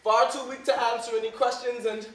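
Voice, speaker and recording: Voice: medium pitch, flat delivery, fairly quick, fairly bright tone, natural voice. A stage actor playing a fussy hypochondriac master of the house, whining and complaining theatrically in a live play. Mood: self-pitying. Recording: echoey theater from far off, noisy.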